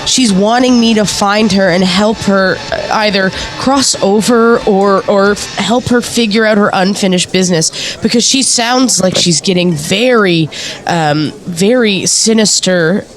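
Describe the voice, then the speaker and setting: An actress playing an eager, earnest ghost investigator in an audio drama, talking in a hesitant yet insistent way. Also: female voice, medium pitch, unhurried, faint music behind, close to an everyday voice. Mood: earnest, concerned. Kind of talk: audio drama acting, naturalistic interview dialogue.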